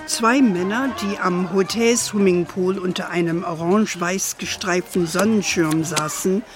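Actress as elderly grandmother, slow delivery, fond, storytelling, children's radio play, music behind. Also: lowish voice, natural voice. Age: older. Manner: unhurried, fond, sprinkles English endearments.

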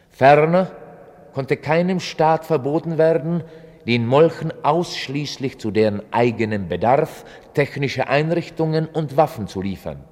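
An actor playing a sober chronicler, narrating a radio play in a formal, deliberate, deadpan way. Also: male voice, mid-range voice, close to an everyday voice. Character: sober male chronicler of an absurd future war.

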